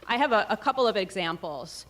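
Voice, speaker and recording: A woman faculty member giving panel discussion remarks in a measured way, pausing often on fillers. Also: mid-range voice, animated. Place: lecture room.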